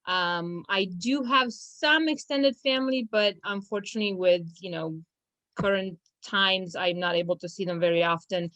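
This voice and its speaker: A woman's voice: mid-range voice, moderate pace, a bit bright, dry recording. A young woman from Romania, a law firm's business manager, talking casually in an interview, hesitant with many fillers and restarts.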